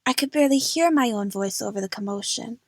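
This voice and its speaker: A woman's voice: fairly high pitch, fast talker, a little mellow, no room sound, studio quality. A woman narrating a first-person story dramatically for an amateur audio drama.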